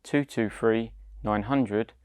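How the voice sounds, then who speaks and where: A British man, around his forties, giving scripted step-by-step product instructions in a measured, deliberate way. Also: on the low side, fairly even intonation, smooth, no room sound, studio quality. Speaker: product demonstrator.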